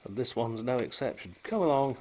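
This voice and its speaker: A man's voice: medium pitch, expressive, a little fast, a little mellow. A British man casually talking through a repair, unscripted, in a rambling, wryly understated way. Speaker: hobbyist repairer and presenter. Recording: noisy amateur camera sound.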